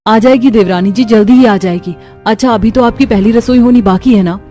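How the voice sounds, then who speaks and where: An earnest, caring housewife and elder sister-in-law played by a woman speaks in a fairly high voice. It is cartoon family drama with soft music underneath. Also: a little fast, close to an everyday voice. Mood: reassuring. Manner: soothing, earnest.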